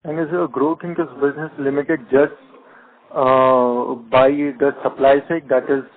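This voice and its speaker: Male analyst, mid-pitched, polite questioner who stumbles a little, heard down a telephone connection. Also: little pitch movement, measured pace. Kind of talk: earnings call questioning. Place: conference call. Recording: thin phone line.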